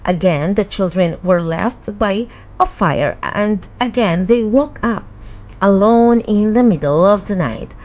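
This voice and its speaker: A woman narrating a children's bedtime fairy tale in a measured, expressive storytelling manner. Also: mid-range voice, muffled recording.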